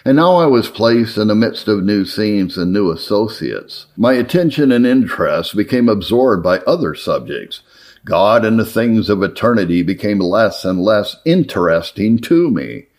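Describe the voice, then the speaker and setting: A man with a low voice narrates an old formal letter. His intonation moves widely up and down, while his phrasing stays measured and earnest. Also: sing-song, a little mellow. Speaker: narrator reading historical religious writings.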